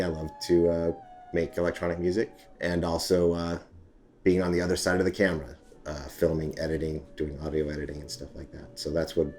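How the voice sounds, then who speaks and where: A man's voice: deep voice, a little mellow. A man speaking hesitantly with many fillers in an interview. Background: faint music.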